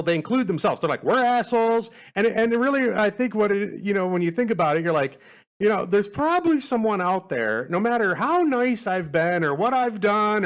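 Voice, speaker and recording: A man hosting a podcast in rambling casual chat, self-deprecating and prone to swearing. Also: high voice. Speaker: podcast host.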